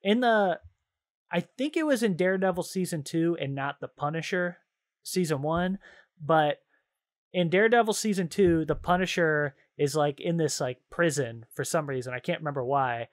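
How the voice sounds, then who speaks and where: A man with a slightly high voice talks as a podcast co-host. He rambles enthusiastically in loose slang, as in a casual chat about games and shows. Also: normal speed.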